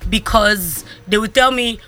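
A woman's voice: mid-range voice, unhurried, pushed and bright. A young adult woman comedian with a Ghanaian accent, speaking candidly and animatedly in an interview, now and then slipping into Pidgin. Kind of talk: interview conversation.

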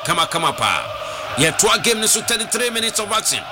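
A man with a Ghanaian accent doing live radio football commentary, shouting excitedly and mixing Twi and English. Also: high voice, brisk. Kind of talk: live radio play-by-play with read-in ads. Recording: noisy broadcast with crowd behind.

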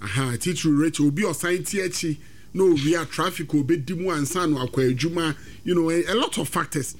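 A man's voice: on the high side, fairly quick. Ghanaian man giving sports opinions. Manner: mixes Twi and English, thoughtful. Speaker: sports pundit. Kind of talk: casual sports chat.

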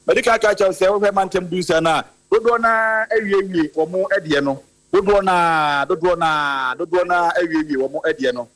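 Male Ghanaian field reporter, a bit high-pitched, halting delivery, radio sound with a hum. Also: fairly bright tone, low-quality recording. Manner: halting, many fillers, mixing Twi and English. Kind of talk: live on-site radio report.

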